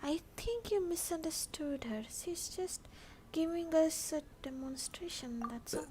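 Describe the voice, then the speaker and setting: A woman's voice: sits high, measured pace, warm tone, slight background noise, rough recording. A woman with an Indian accent casually livestreaming a game, chatting and reading dialogue aloud. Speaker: game streamer.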